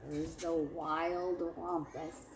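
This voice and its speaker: Female storyteller for a children's read-aloud: low register, unhurried, teacherly, very expressive. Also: a little hiss or hum. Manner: expressive, dramatic, with drawn-out words and hushed moments. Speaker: teacher reading aloud to students. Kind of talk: animated children's picture book read-aloud.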